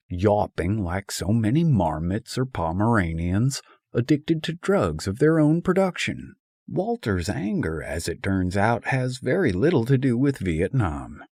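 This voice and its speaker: Male narrator, lowish voice, animated intonation, wry scripted essay reading, dry close sound. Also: slightly rough, no echo. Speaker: audiobook narrator.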